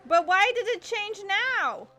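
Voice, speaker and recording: A woman's voice: very high-pitched, big pitch swings, slow pace, pushed and bright, faint music behind. A woman giving casual, reactive commentary while playing a game, rambling and thinking aloud as she goes. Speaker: gamer streaming a playthrough.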